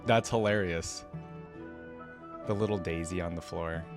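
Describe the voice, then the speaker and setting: A man's voice: low-pitched, unhurried, music in the background. A man hosting a reaction video, commenting casually and musing aloud in an informal, conversational way. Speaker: host of a reaction channel.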